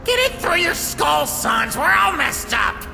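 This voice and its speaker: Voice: high-pitched, expressive, measured pace, gravelly, bright, forceful tone, long reverb tail, faint music behind, heavily acted. An actor voicing a small, irritable, childlike male talking flower in a fan comic dub, whiny and snappy. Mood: furious.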